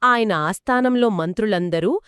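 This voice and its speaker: A woman narrating a folk tale in a lively, expressive storytelling manner, trading lines with a co-host. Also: medium pitch, normal speed, smooth, dry recording, clean studio sound. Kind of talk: scripted two-voice story narration.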